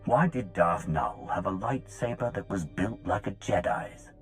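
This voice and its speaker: A man's voice: low-pitched, animated, a little slow, faint music behind. A man voicing a brooding, resolute warrior in a game, speaking in a stern, direct, serious manner. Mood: probing. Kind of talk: video game cutscene acting.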